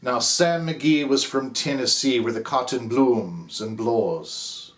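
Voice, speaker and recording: A man's voice: mid-range voice, slow pace. A man with an Irish accent reciting a ballad as the narrator Cap, in a rhythmic, theatrical delivery. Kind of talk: dramatic poem recitation.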